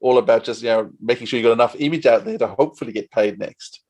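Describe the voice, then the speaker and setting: A man's voice: mid-range voice, fast talker, darkish tone. A man hosting a conversational interview, chatty and rambling, with plenty of enthusiasm. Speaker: podcast interviewer.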